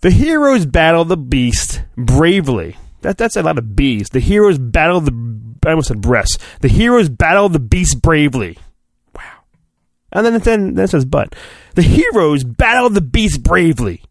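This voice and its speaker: A man's voice: fairly high pitch, sing-song, moderate pace, dry recording, clean studio sound. A man hosting a podcast, giving a mock-dramatic storybook reading and breaking off for irreverent, crude asides. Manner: theatrical, irreverent, often breaking off to comment. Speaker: podcast host.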